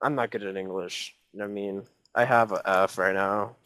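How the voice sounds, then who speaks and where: A man's voice: lowish voice, steady tone, normal speed, a bit bright, smooth, no echo. A young man co-hosting a casual podcast, joking and tripping over his words as he talks with friends in one room. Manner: joking, stammering restarts. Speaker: podcast co-host. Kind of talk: casual chat. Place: shared room with co-hosts. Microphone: clip-on mic on shirt.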